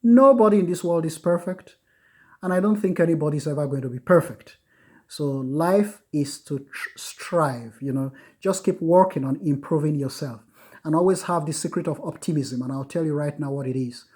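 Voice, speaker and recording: Earnest husky man lecturing. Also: on the high side, darkish tone. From United States. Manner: earnest, repetitive, many filler pauses. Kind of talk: informal recorded lecture on Buddhism.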